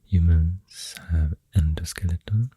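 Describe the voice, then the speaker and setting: Super soft breathy asmr host w swedish accent, slow n deep, halting, close dry mic. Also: no room sound. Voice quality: breathy, half-whispered. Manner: hushed, hesitant, pausing mid-thought. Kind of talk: soft-spoken ASMR rambling. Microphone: close ASMR microphone. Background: pencil writing sounds.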